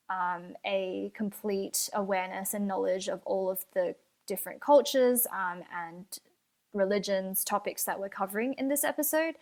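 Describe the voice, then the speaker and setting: A young woman co-hosting a podcast, talking carefully and thoughtfully, hedging her points. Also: mid-range voice. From Australia. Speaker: podcast co-host. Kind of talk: casual podcast discussion.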